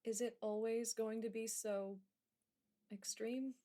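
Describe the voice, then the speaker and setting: A woman's voice: fairly high pitch, little pitch movement, slow, warm tone. A woman playing a wary, troubled young patient in a radio drama, guarded and doubting. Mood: doubtful. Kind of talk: modern radio drama acting.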